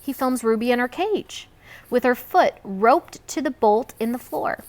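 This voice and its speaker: A woman reading a children's book aloud in an expressive storytelling style. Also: fairly high pitch, measured pace. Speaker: read-aloud reader.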